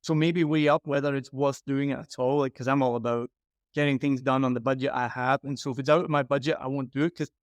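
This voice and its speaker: Man, podcast consultant, frank and advising, mid pitch, dry close sound. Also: steady tone, quick pace, dry recording. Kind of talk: casual critique.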